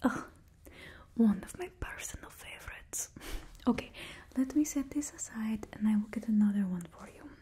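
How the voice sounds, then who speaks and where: A woman's voice: a little high, lively intonation, moderate pace, warm tone. A woman in her twenties whispering closely in a put-on Russian accent, as the host of an ASMR roleplay. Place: indoors in front of a studio backdrop. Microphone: close microphone.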